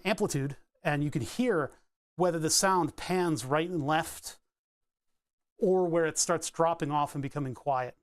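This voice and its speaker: Brief: a rambling hobbyist streamer, a man with a slightly high voice, chatting at his workbench. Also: no room sound. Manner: rambling and chatty, answers chat, breaks into laughs. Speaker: electronics streamer. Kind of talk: casual live-stream chat while building a circuit.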